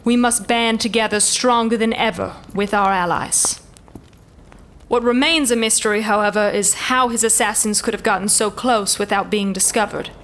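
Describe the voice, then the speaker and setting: A woman's voice: medium pitch, steady tone, moderate pace, a bit bright, a little hiss or hum, close to an everyday voice. A woman playing a noble elven commander in a fantasy audio drama, speaking in formal, stately oratory. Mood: mournful, dramatic, then formal, commanding.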